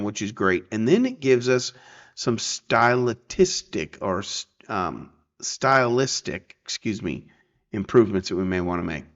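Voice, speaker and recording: Male tutorial presenter, mid-pitched, informal and explanatory, slightly muffled lo-fi voice-over. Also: a bit bright. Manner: informal, explanatory, checks in with "okay" and "right". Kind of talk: casual screen-share software tutorial.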